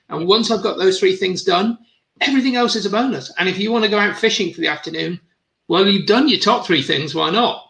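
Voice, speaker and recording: A man's voice: sits high, gravelly, fairly bright tone, low-quality recording. A middle-aged man hosting an interview, enthusiastic and agreeable, often repeating words. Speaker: podcast host. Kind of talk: casual interview talk.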